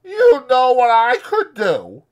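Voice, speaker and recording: A man's voice: very high-pitched, huge pitch swings, slow, pitched above the performer's own voice, full character voice. A man voicing a spiteful, threatening young ranch wife in rural dialect for a dramatized audiobook. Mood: menacing.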